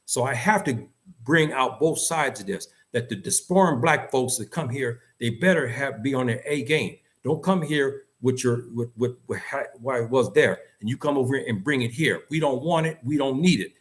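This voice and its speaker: A man's voice: medium pitch, normal speed. A man with an American accent giving opinionated, unscripted talk in an emphatic, insistent manner, repeating phrases for stress. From United States. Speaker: host and commentator.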